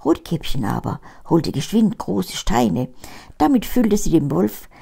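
Elderly woman, mellow creaky voice, expressive fairy-tale reading, grandmotherly storyteller. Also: on the low side, a little slow. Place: small book-filled living room. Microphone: lapel mic.

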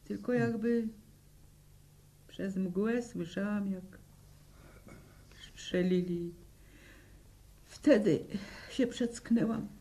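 Slow, haunted peasant widow. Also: female voice, mid-range voice, mellow. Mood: anguished recollection. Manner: halting, sombre storytelling in plain rural speech. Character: grieving peasant war widow, stubborn, haunted. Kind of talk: 1960s Polish radio drama acting.

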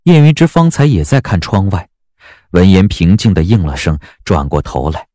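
A man narrating a story as an audiobook narrator, in a scripted, expressive and measured dramatic style. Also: low-pitched, no room sound, studio quality.

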